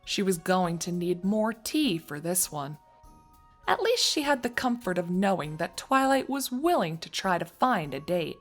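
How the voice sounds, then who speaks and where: A woman's voice: lowish voice, very animated, a little slow, soft background music. A woman narrating a scripted story as its narrator, reading in prose between characters' dialogue.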